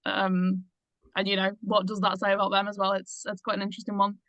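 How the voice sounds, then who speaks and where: A woman's voice: mid-range voice, monotone, brisk, fairly bright tone, no echo. A woman on a reading panel giving casual spoken feedback, in dull lo-fi sound.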